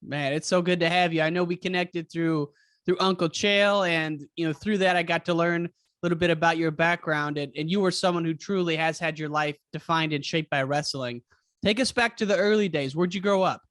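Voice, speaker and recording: A male podcast host with a fairly high voice. He interviews conversationally, and his audio is close and dry. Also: fairly even intonation, brisk, a bit bright, dry recording.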